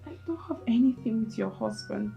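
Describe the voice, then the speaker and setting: Frightened pregnant maid, played by a woman; high, timid, pleading. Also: fairly quick, vocal fry, mellow, faint music behind. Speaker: actress in a domestic skit.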